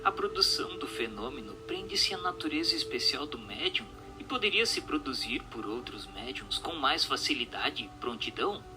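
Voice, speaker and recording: A man with a Brazilian accent giving a formal, measured reading of a scripted radio drama. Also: mid-range voice, very animated, music in the background. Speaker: reader of a spiritist radio drama.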